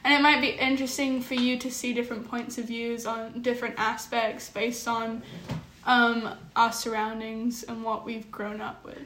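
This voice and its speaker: A woman's voice: a little high, level tone, moderate pace, a bit bright, a little hiss or hum. A teenage girl from England and New York co-hosting a podcast, speaking in an articulate, thoughtful way. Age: teens. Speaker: podcast co-host. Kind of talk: casual chat. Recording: echoey room with faint ambience.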